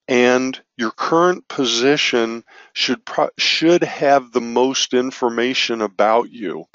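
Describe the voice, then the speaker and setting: A man with a raspy voice from allergies, teaching an online business course in a loose, self-correcting way with frequent fillers. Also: medium pitch, a bit bright, dry recording, lo-fi sound. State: raspy from allergies, occasional cough. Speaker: business marketing instructor. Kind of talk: screen-share course walkthrough.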